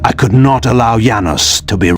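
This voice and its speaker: An actor playing a proud, aloof ancient vampire lord who speaks in a theatrical, deliberate way, as in dramatic video game narration. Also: male voice, medium pitch, slight background noise, lightly acted. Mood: grim, resolved. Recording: music behind the voice.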